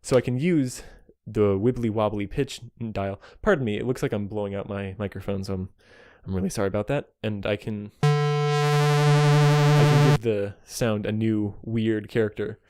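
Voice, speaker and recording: A man with a fairly low voice talks casually through his gear like he is showing a friend. He is eager but self-effacing, wandering and excusing himself as he goes. The voice is dry, with synthesizer tones sounding behind it. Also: normal speed, dark tone, dry recording. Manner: self-deprecating, apologetic, chuckles often; enthusiastic. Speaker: amateur synthesist demonstrating gear. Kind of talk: casual rambling demonstration chat to a friend. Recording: music behind, occasionally overloaded mic.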